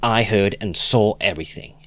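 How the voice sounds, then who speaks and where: An eager young idealist lectures with emphatic zeal, his voice pushed higher and louder than the reader's own deep tone, as a man puts him on. The recording is close and dry. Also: normal speed, no room sound, lightly acted. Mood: earnest. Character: earnest, excitable young male idealist, preachy. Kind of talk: audiobook reading.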